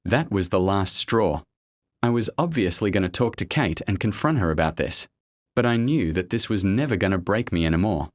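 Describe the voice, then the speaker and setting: A man reading out a first-person online story as a steady narrator, spelling out abbreviations letter by letter. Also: sits low, moderate pace, dry recording.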